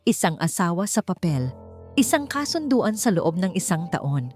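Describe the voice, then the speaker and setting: A woman's voice: fairly low pitch, big pitch swings, moderate pace, a little mellow, soft background music. A woman narrator voicing a formal, direct male lawyer in a storybook romance. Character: formal, emotionless male lawyer making a business offer. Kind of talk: storybook character dialogue.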